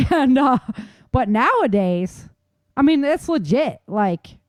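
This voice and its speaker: A woman's voice: high-pitched, big pitch swings, slow pace. A woman co-hosting a casual chat, speaking informally and chiming in. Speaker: show co-host.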